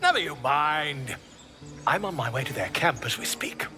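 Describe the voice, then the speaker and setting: An actor playing a flamboyant, self-important bard in a video game, with a grandiloquent theatrical manner. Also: male voice, fairly high pitch, animated, normal speed, bright, forceful tone. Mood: excited, eager. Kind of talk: video game dramatic acting. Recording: music behind the voice. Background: music.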